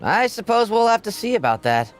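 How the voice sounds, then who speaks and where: A man's voice: high-pitched, sing-song, brisk, soft background music. A man playing a smarmy, sarcastic wrestling management lackey in a video game, with smug theatrical delivery. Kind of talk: scripted video game cutscene acting.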